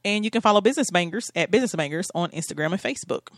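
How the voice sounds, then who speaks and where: A woman hosting a radio podcast in a casual, conversational interview style, with a halting delivery. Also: mid-range voice, expressive, dry recording, clean studio sound. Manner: halting, hesitant when asking questions. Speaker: podcast and radio show host.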